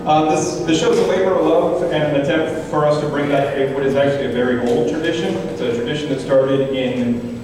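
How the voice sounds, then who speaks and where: A man's voice: fairly high pitch, fairly quick. A man hosting a live show, giving a welcome speech to the audience as its producer. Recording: very echoey hall, distant mic, clear noise.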